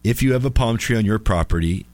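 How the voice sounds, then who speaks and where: A man with a lowish, slightly raspy voice, a touch under the weather, hosts live talk radio. He chats informally and haltingly, with a wry edge. The sound is dry, studio-close. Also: darkish tone, dry recording. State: a little under the weather with allergies. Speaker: attorney hosting a live radio call-in show. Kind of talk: casual live radio chat.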